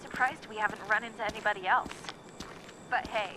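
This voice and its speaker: A woman's voice: mid-range voice, fairly even intonation, fairly quick, background noise, natural voice. A young woman acting an easygoing, playful friend in a video game, with a casual, wry and supportive manner.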